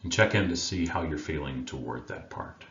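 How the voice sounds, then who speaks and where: A man leading a guided meditation as a coach, speaking in a gentle, soothing manner with long unhurried pauses. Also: rumbling low. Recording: roomy, dull sound with faint background noise.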